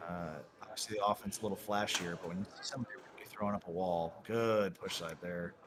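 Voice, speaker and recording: A man in his thirties calls table soccer in a relaxed, chatty play-by-play. His voice sits low. Steady crowd noise from the tournament hall can be heard behind him. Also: quick pace. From Connecticut, US. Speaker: commentator. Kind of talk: live table soccer commentary. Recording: live venue noise behind.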